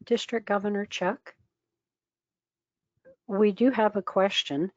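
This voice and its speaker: A woman's voice: mid-range voice, steady tone, slow pace, no room sound. A woman moderates a meeting and reads out audience questions, stopping to correct herself. Her voice sounds dry and dull, like lo-fi online meeting audio.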